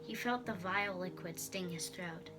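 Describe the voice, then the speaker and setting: A woman's voice: lowish voice, moderate pace, over music. A female storyteller reading a storybook aloud in a dramatic narrating style.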